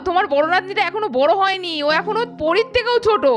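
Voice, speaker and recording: A woman's voice: extremely high pitch, quick pace, soft background music. A woman voicing a busy, affectionate village aunt in a children's doll cartoon, exclaiming and scolding fondly. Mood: fond, teasing.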